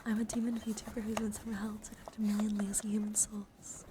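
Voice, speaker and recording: A woman hosts an ASMR livestream in a soft voice that often drops to a whisper. Her pitch sits in the middle, breathy and gentle. She rambles intimately to viewers close to the mic, with clear ambient noise behind her. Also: completely flat delivery, fast talker. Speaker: livestreamer and VTuber host. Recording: clearly noisy ambient background.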